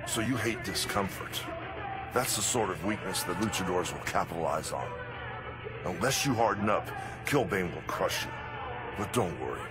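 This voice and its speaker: A grim male trainer in a video game, stern and matter-of-fact. His voice sits very low, and music plays clearly under it. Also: animated, normal speed. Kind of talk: video game cutscene acting.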